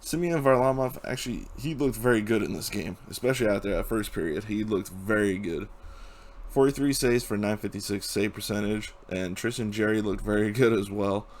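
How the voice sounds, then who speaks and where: A young man who hosts a hockey channel, casually recapping playoff games and reading out stats, his voice hoarse from a cold. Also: fairly low pitch, fairly quick. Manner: casual, reads stats, chuckles at times.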